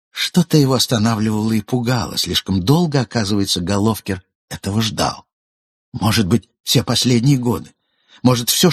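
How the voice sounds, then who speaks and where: A man reading literary prose aloud as an audiobook narrator, in a measured, ironic storytelling manner. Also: medium pitch, husky, dry recording, studio quality.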